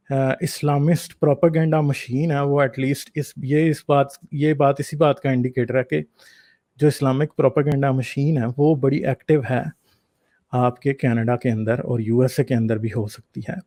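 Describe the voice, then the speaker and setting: A man's voice: medium pitch, steady tone, unhurried, warm tone, no echo. A man giving informal opinion commentary to viewers, explaining his points and mixing in English words. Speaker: online commentator hosting own channel.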